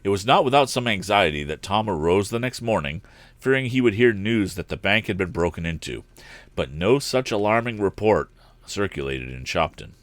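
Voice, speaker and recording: A man reads an adventure story aloud in an expressive narrator's style. His voice sits a little low and moves with very lively intonation. The sound is close and dry. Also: moderate pace, no echo. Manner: expressive read-aloud delivery. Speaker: storyteller, live stream host.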